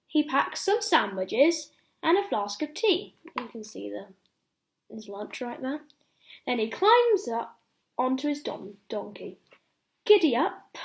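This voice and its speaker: Young high voice reading a kids bedtime story out loud, expressive, chatty little asides about the pictures. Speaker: storybook reader.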